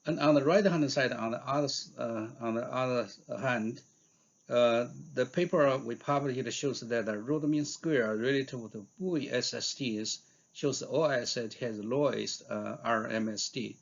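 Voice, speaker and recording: Halting man presenting research, dull audio. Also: medium pitch, gravelly. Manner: halting, hesitant delivery with frequent fillers. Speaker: researcher giving invited talk. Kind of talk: scientific conference presentation.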